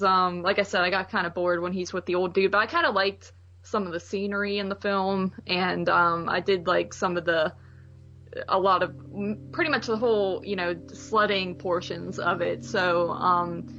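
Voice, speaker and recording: A woman's voice: fairly low pitch, fairly even intonation, normal speed, bright, forceful tone. Chill lady on a movie podcast, casual chat, bit lo-fi, faint music under her. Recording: slightly dull lo-fi audio, faint music.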